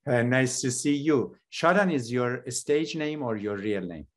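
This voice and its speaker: A man, hosting a conversational interview with curious, informal, halting questions over a video call. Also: medium pitch, expressive. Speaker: interview host. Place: small furnished room at home, video call.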